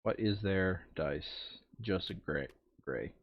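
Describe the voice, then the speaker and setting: A man's voice: deep voice, animated, slow pace, lo-fi sound. A man playing a board game, casually narrating the steps and muttering asides to himself as he works through them. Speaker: tabletop game player and narrator.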